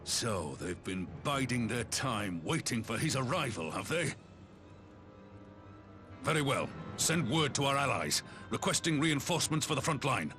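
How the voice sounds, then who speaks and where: An actor playing a composed, dignified military commander in a fantasy war game, speaking formally and deliberately. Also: male voice, medium pitch, very animated, over music. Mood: composed, resolute. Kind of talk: dramatic video game voice acting.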